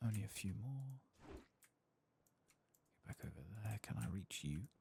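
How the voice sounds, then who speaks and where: A man giving soft-spoken, hushed ASMR commentary as he plays a game, muttering to himself in an unhurried way. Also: fairly low pitch, lively intonation, gravelly, slight background noise. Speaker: streamer playing a fantasy game.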